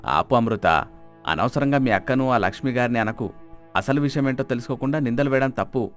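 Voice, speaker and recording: A man voicing a stern, fair-minded older father of grown daughters in an animated moral story, firm and reproving. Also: mid-range voice, brisk, soft background music, natural voice.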